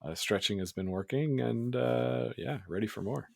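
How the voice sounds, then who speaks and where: A man co-hosting a sports podcast in a relaxed, laid-back way, his voice a little scratchy today. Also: mid-range voice, normal speed, creaky, no room sound, studio quality. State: slightly scratchy voice. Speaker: podcast co-host. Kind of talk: casual sports podcast chat.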